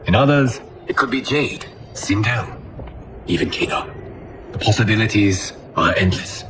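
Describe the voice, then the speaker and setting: An actor playing a composed guardian god of time, grave and formal, in a video game cutscene. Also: male voice, on the low side, normal speed, background noise, lightly acted. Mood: solemn. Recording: loud cinematic music over dialogue.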